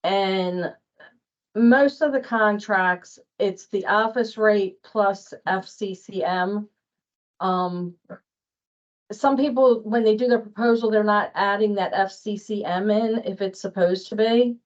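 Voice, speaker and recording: A woman's voice: medium pitch, slow pace, lo-fi sound. A woman giving an informal spoken explanation as presenter at a staff training.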